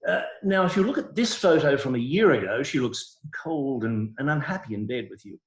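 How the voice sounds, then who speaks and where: A man acting as a smug doctor in a comic stage reading. He is mock-solemn and clinical, hesitating as he goes, in a medium-pitched voice. The sound is echoey, from a room on a video call. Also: lively intonation, fairly quick, natural voice. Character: smug male doctor, gravely sympathetic yet shameless.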